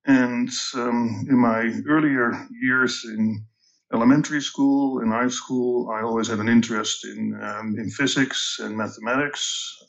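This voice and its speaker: A man's voice: medium pitch, steady tone, vocal fry. Older Dutch-accented man, slightly muffled. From Netherlands. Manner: measured, hesitant with many ums and restarts. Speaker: guest. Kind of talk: reflective career storytelling.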